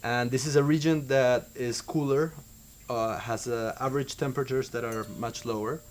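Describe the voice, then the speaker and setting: Young Argentine winemaker, measured and hesitant. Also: male voice, mid-range voice, steady tone, a little hiss or hum. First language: Spanish. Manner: measured, with hesitant fillers and restarts. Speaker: winemaker guest. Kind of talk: interview answers.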